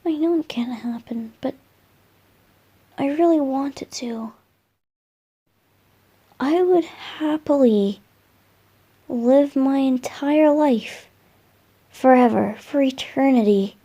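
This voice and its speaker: A gentle, boyish young spirit in love, played by a woman, with a high, mellow voice. He murmurs softly and hesitantly, in the intimate style of a romantic ASMR roleplay. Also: heavily acted. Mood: wistful, devoted. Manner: soft, tender, breathy murmurs with hesitant pauses.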